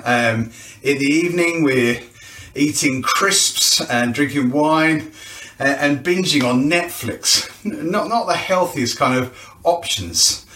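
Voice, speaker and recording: A man's voice: fairly high pitch, takes it slowly, bright, forceful tone, far from the mic, slight background noise. A man giving an informal, chatty announcement for a church event, with rhetorical questions and a self-deprecating touch. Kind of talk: informal promotional video announcement. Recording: echoey, roomy sound.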